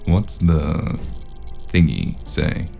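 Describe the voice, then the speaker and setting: A weary noir investigator with an extremely deep voice full of gravel. He speaks in a dry, sardonic deadpan, as in a radio detective drama. Music plays clearly behind him. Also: extremely slow, heavily acted. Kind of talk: noir audio drama acting and first-person narration.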